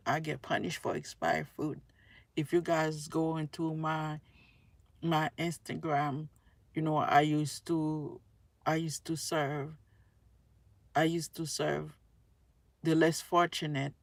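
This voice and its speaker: A woman speaks casually and earnestly to her audience. Her voice has a lot of gravelly fry, and the recording is dry with little room sound. She rambles and circles back to repeat her phrases. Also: low voice, fairly even intonation, slow, no room sound. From Haiti. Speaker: tarot reader and spiritual video creator. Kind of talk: casual spoken monologue to viewers.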